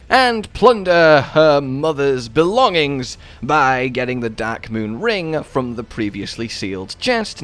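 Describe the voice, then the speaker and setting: A man narrating a scripted video game walkthrough as its host, with playfully florid, wordy phrasing. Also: fairly high pitch.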